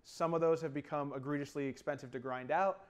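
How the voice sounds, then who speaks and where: A man's voice: on the high side, steady tone, brisk, clear, resonant tone. A man in his twenties giving opinions as co-host of a casual discussion about video games. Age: 20s. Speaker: talk show co-host. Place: small TV studio, seated.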